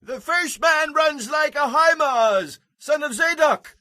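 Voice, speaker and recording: A man voicing an alert watchman who calls out loudly from a rooftop. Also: extremely high pitch, takes it slowly, dry recording, studio quality, voice pushed up, exaggerated voice. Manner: calling out loudly.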